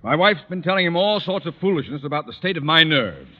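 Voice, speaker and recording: An actor playing an irritable, pompous middle-aged school principal in an old-time radio comedy, impatient and quick to bluster. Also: male voice, fairly high pitch, lively intonation. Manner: pompous, impatient, exploding into outrage. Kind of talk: 1940s-50s radio comedy acting. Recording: muffled, very noisy old radio recording.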